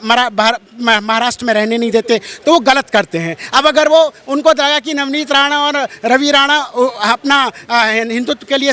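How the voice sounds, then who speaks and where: A man with a strikingly high, sharp and forceful voice holds forth as a religious interviewee. His delivery is preachy and emphatic, and he hammers points home by repeating his phrases. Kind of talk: impassioned street-interview polemic.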